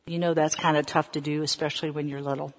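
Low-voiced American woman preaching in echoey hall. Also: little pitch movement. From Southern United States. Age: 50s. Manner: deliberate, addresses listeners with rhetorical questions. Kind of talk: sermon with personal storytelling. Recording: echoey hall with long reverb.

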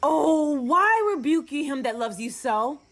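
A woman playing a lovesick, scornful young gentleman, delivering measured, melodramatic Shakespearean verse in a radio play. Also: sits high, very animated, heavily acted. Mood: wounded devotion.

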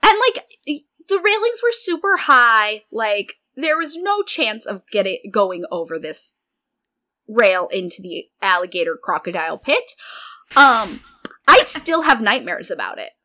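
A woman's voice: a little high, very animated, measured pace, sharp tone. A woman co-hosting a casual podcast, bantering with self-deprecating humour. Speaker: podcast co-host.

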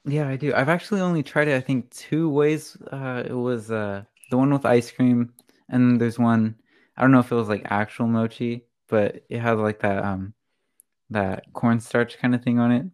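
Relaxed hesitant co-host. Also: mid-range voice, normal speed. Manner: relaxed, hesitant, laughs easily. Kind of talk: casual chat.

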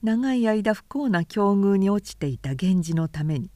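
A woman narrator reading classic literature aloud in a measured, careful audiobook delivery. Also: medium pitch, expressive, dark tone, no room sound, clean studio sound.

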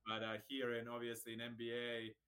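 Bright-voiced Serbian man telling his story. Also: medium pitch, monotone, brisk. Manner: friendly, matter-of-fact storytelling with frequent restarts. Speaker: guest, racquet sports director. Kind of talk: casual interview chat.

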